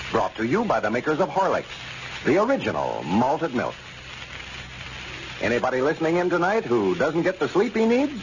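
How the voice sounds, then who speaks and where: A man announcing in 1930s radio style, with folksy, polished sales patter and scripted narration. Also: fairly high pitch. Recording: muffled, noisy old radio recording.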